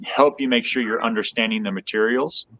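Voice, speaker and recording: A man's voice: mid-range voice, normal speed, fairly bright tone, lo-fi sound, a little hiss or hum. A man with an American accent explains things in a casual, conversational way as a podcast guest. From United States.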